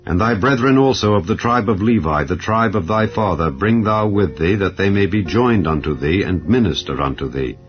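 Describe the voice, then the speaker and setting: Male narrator for dramatized scripture: low register, grave and stately delivery, slightly muffled recording over soft music. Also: expressive. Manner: solemn, measured, formal delivery. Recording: dull lo-fi audio under music. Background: background music.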